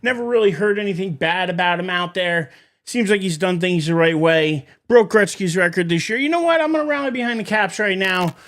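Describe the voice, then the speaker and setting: A man's voice: high-pitched, brisk, fairly bright tone. A man co-hosting a sports podcast, giving emphatic, opinionated takes in casual talk. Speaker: podcast co-host.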